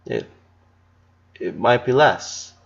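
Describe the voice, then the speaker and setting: A man voicing a gentle, sorrowful eleven-year-old boy, a protective big brother who speaks haltingly, in a story reading. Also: medium pitch, expressive, creaky, lo-fi sound. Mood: hesitant, uneasy. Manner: halting, hesitant.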